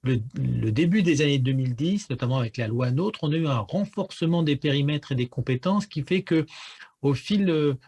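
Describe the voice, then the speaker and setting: A man's voice: a little high, expressive, normal speed, a little mellow, husky. A man giving analysis as a guest pollster in a radio interview, explaining things with frequent stammered repeats.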